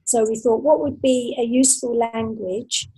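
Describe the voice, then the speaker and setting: A middle-aged British woman giving thoughtful, halting answers as a guest in a casual interview. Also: on the high side, fairly even intonation, mellow, slightly rough, poor audio. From Britain. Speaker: podcast guest, science fiction author.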